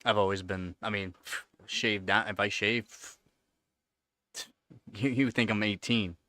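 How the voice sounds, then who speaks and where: A man's voice: on the low side, fairly even intonation, takes it slowly, sharp tone, dry recording. A man in his thirties chatting casually on a livestream, answering viewers' questions in a rambling way, with many fillers and repeated words. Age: 30s. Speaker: livestream host. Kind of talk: casual live-stream chat answering viewers.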